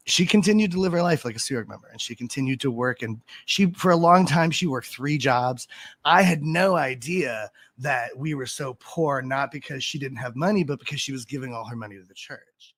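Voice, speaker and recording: A man's voice: medium pitch, a bit bright, no echo. A man recounting his upbringing in candid, conversational testimony. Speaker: former member raised in the group. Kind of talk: personal testimony.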